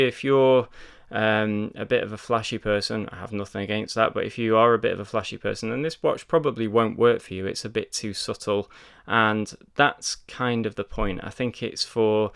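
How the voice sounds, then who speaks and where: Male reviewer, smooth but gravelly low voice, casual chatty delivery. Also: moderate pace, a bit bright. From United Kingdom. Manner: chatty, full of ums and doubled words. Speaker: watch reviewer. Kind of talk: casual product review to camera.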